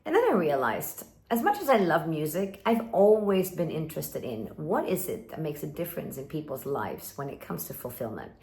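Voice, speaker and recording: A woman's voice: low voice, very animated, normal speed, few pauses, darkish tone. A Swedish woman in her fifties with an American-influenced English accent, giving earnest, emphatic coaching talk to camera and acting out inner voices. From Sweden. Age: 50s. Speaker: relationship coach. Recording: roomy echo.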